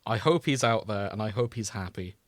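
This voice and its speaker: A man's voice: fairly low pitch, expressive, a little fast, no echo. A man co-hosting a podcast in casual, comedic chat, with a wry, dry sense of humour. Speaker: podcast co-host. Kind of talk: casual comedic chat about fan fiction.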